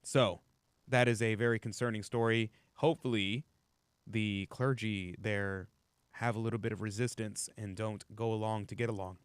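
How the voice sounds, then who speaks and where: A man hosting a talk radio show, commenting on the news in an opinionated, animated way, pushing his pitch up when he makes a point. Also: moderate pace, clean tone, no room sound. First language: English.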